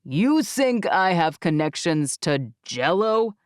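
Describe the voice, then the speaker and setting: A woman's voice: on the low side, big pitch swings, slow pace, no echo, studio quality, voice pushed down. A woman narrator voicing a teasing, easygoing young man in audiobook dialogue.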